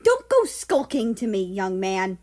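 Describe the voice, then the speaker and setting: A woman's voice: mid-range voice, wildly swooping pitch, a little slow, darkish tone. A woman voicing a stern, fussy, short-tempered stepfather in a story, scolding indignantly. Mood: exasperated scolding.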